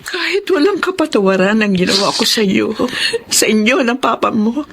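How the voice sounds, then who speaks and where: An actress playing a middle-aged, guilt-ridden Filipino mother in a radio drama, speaking haltingly and tearfully. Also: on the high side, expressive, creaky, fairly bright tone, slightly rough, exaggerated voice. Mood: pleading, tearful. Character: remorseful mother confessing to her abandoned daughter.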